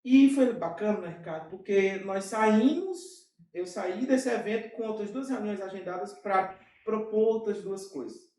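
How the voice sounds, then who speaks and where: A man with a Brazilian Portuguese accent, a guest in an interview, telling his story in an animated way with many asides. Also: sits high, far from the mic. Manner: animated, with frequent fillers and quick asides. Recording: echoey room, off-mic.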